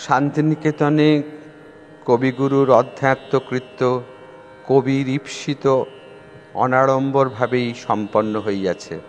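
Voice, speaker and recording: A man's voice: medium pitch, fairly even intonation, a little slow, pauses often. A man reading formal, solemn scripted narration for a documentary. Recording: long reverb, music behind.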